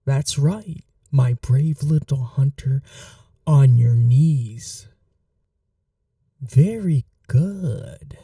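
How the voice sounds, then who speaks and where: Man acting very slow, dark-voiced possessive vampire. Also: mid-range voice, no room sound, clean studio sound, recorded from a distance. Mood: commanding. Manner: slow, hushed, dominant, formal endearments, often whispering. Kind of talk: intimate ASMR roleplay, scripted monologue.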